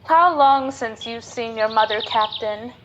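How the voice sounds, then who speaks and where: A woman's voice: a little high, unhurried, fairly bright tone, muffled recording, a little hiss or hum. A young woman playing a minister's trusting daughter in an amateur radio drama, earnest and plain-spoken. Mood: gentle, candid. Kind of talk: student radio drama acting.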